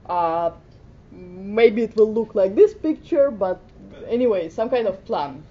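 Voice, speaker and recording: A woman's voice: lively intonation, measured pace, dark tone. A middle-aged woman from Finland giving a conference talk, speaking hesitantly with many fillers. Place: conference room. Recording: muffled conference audio with faint rumble.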